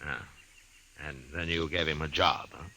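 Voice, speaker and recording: A man playing a calm, unhurried US marshal with an American accent in an old-time radio western. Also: extremely low pitch, exaggerated voice. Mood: calm, probing. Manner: laconic, unhurried. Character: calm, measured frontier lawman, a US marshal. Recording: hissy old radio recording.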